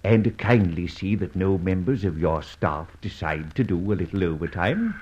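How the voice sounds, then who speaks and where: An actor playing a suave, confident gentleman adventurer in an old radio drama, speaking with urbane, teasing politeness and deliberate hesitations. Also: sits low, animated, husky. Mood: courteous, insistent. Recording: dull vintage radio recording.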